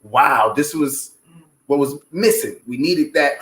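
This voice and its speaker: A man's voice: on the high side, takes it slowly, a bit bright. A man giving a casual, conversational testimony as a guest, often checking that the listener follows him. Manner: peppers talk with "you know what I'm saying". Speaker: guest from a stage play's team. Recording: echoey room, faint background noise.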